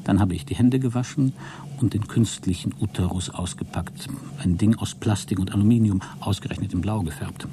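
An actor playing a deluded, exhausted astronaut who keeps a logbook in a radio play, halting, self-justifying and grandiose. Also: male voice, on the low side, background noise, natural voice. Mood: tense, secretive. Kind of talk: dramatic radio play monologue.

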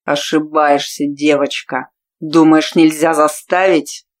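A woman voicing a brutal, menacing male warrior chieftain, speaking slowly and forcefully. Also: low-pitched, little pitch movement. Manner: slow, forceful, growling.

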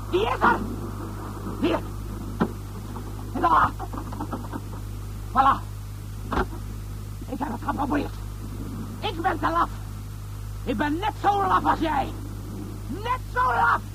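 A man's voice: very high voice, sing-song, slow, creaky, long pauses, heavily acted. A man playing a drunken, mocking, cowardly lover who repeats himself in a radio drama. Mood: bitter, desperate. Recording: very noisy old radio play recording.

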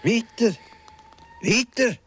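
A man's voice: very high-pitched, animated, takes it slowly, fairly bright tone, slightly rough, exaggerated voice. An actor playing a cornered guilty man in a radio drama, indignant and pleading, with curt protests in Swiss German. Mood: agitated.

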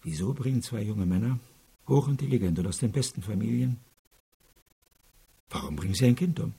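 An actor playing a troubled, insistent senior prosecutor in a radio crime drama, questioning pensively in a raspy voice. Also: male voice, fairly low pitch, animated, brisk, dark tone, exaggerated voice. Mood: troubled, probing. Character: troubled, probing senior male chief prosecutor.

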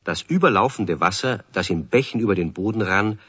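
A man with a husky voice narrating a children's radio play as a scripted story. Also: on the low side, lively intonation, a little fast, a bit bright, no room sound. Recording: dry, muffled 1970s radio recording.

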